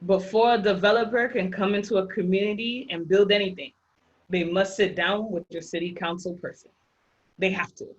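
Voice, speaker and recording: A woman's voice: medium pitch, fairly even intonation, moderate pace, lo-fi sound. A woman with a Haitian accent presenting a housing rights workshop over slides, emphatic and repeating her key points. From Haitian background.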